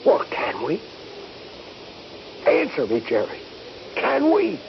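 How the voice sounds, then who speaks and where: Very gravelly, strained soldier, old radio. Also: male voice, fairly high pitch, wildly swooping pitch, slow, exaggerated voice. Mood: determined. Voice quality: gravelly, creaky. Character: injured soldier buddy, trapped but determined. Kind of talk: radio drama acting. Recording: dull vintage radio recording, faint noise.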